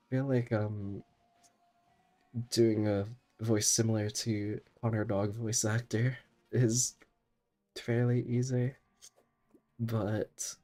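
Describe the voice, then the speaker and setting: A man's voice: fairly low pitch, a little mellow. A young man streaming a game while sick, chatting in a rambling way and laughing often. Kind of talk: casual gaming stream chat.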